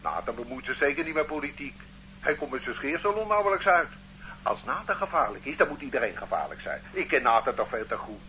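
A man's voice: medium pitch, big pitch swings, a little fast, lightly acted. Man playing a flustered, defiant accused man. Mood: defensive. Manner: flustered, indignant. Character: ordinary man accused, anxious and stubborn. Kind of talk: radio drama acting. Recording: dull old radio recording with faint hum.

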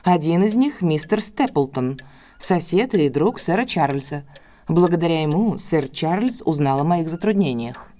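A woman's voice: low-pitched, expressive, unhurried, mellow. An actress playing a proud, guarded, defensive woman in an audio drama, speaking formally and curtly. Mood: reserved. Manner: formal, curt, indignant.

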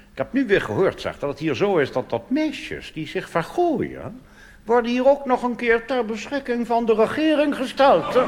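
A Dutch cabaret comedian performing a blustering, pompous reactionary army officer in a rambling stage monologue. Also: male voice, fairly high pitch. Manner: pompous, rambling, tag questions. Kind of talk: stand-up cabaret monologue in character. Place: theater stage before a live audience. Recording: live stage recording with hall reverb and hiss.

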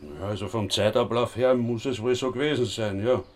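An actor playing a sardonic, pedantic police inspector in a radio drama, with an Austrian accent and a dry, wordy delivery. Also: male voice, on the low side, fairly even intonation, fairly quick, close to an everyday voice. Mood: explanatory.

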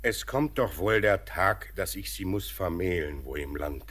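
Mine master and father, low and husky, reflective and melancholy. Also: expressive, a bit bright, heavily acted. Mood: wistful. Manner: measured, reflective. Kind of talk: poetic German radio play acting.

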